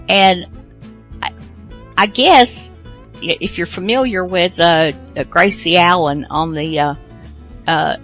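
A woman's voice: fairly low pitch, slow, pauses often, a bit bright, soft background music. A woman hosting a podcast, giving a casual, rambling introduction in an informal way. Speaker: podcast host.

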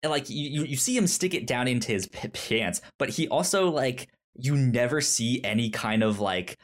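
A man co-hosting a review podcast, talking casually about a film in a halting way, often repeating words mid-sentence. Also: medium pitch, lively intonation.

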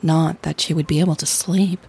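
A woman podcast host reading scripted audio drama narration in a measured, intimate way with deliberate pauses. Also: deep voice, fairly even intonation, creaky, warm tone, husky.

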